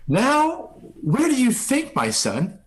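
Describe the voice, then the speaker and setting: Menacing pirate captain, man performing, comedic read; slow, taunting orders with big melodic swings. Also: extremely high pitch, wildly swooping pitch, exaggerated voice. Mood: wheedling, sly. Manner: taunting, theatrical, drawling commands. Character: swaggering, menacing old pirate ringleader, man. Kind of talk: live comedic table read.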